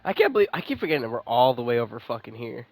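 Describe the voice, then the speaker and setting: A man's voice: mid-range voice, sing-song, racing, creaky, no echo, low-quality recording. A man giving informal gameplay commentary, thinking aloud and swearing casually as he goes. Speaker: game streamer.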